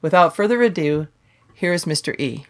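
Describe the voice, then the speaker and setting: Low-voiced woman hosting a podcast. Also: animated. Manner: measured, explanatory. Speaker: podcast host. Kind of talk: casual podcast chat and scripted intro.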